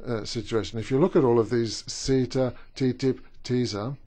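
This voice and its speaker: A man with a strong Austrian German accent, a native German speaker, speaking haltingly as a trade union representative at a conference. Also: medium pitch, fairly even intonation, dark tone. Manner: halting, with many fillers and restarts. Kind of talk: conference speech.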